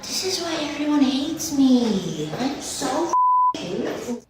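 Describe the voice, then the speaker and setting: Female, high register, drawn-out exclamations, heavily reverberant and distant clip. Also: sing-song, music in the background. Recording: very echoey, distant, noisy clip.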